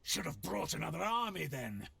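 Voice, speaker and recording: Gravelly, fierce warrior chief. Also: male voice, on the high side, very animated, normal speed, bright, forceful tone, full character voice. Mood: threatening. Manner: defiant, declamatory. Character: fierce proud orcish hunter chief, male, battle-hardened. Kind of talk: video game voice acting.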